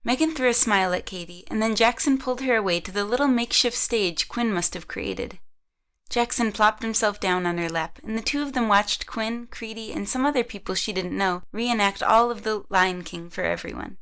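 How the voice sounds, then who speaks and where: A woman's voice: medium pitch, normal speed, a bit bright, clean tone. A woman reading scripted narration for an audio drama, telling the story in the third person.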